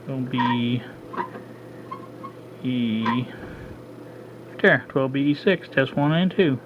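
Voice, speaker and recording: A man of middle pitch talks his way through hands-on work at a home bench in a relaxed, unscripted way. He stops and restarts often, filling the gaps with "uh" while he figures things out. The recording sounds dull and lo-fi, with a clear layer of steady noise behind him. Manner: halting, thinks aloud with frequent uh. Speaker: hobbyist radio restorer. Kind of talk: casual hands-on demo narration.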